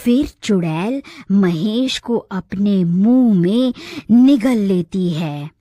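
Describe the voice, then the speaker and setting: A woman's voice: mid-range voice, lively intonation, drawn-out words, dark tone, no room sound, studio quality. A woman narrating a scripted children's cartoon story.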